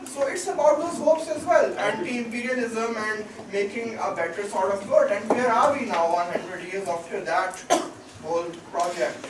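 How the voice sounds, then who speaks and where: A man's voice: high-pitched, moderate pace. Lecturing man. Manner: lecturing, mixes in Urdu asides. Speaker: seminar panelist. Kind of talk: academic panel discussion. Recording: roomy hall, distant mic, noisy.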